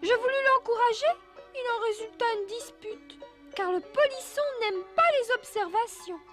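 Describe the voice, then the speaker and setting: A performer voicing a tiny, fussy moralizing creature who narrates a cartoon recap in a gently reproving way. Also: very high-pitched, normal speed, music in the background.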